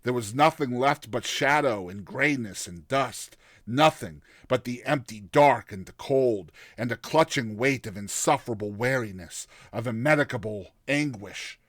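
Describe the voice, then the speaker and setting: A man narrating a classic fantasy story in a solemn, theatrical and deliberate style. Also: medium pitch, fairly bright tone, no echo, studio quality. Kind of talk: dramatic scripted story narration.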